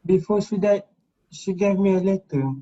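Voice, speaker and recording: Man as a guilt-ridden student, very muffled and dark. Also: high voice, moderate pace. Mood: subdued confession. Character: guilt-ridden male student, subdued and confessing. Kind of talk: amateur reader's theater acting. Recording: dull lo-fi voice recording.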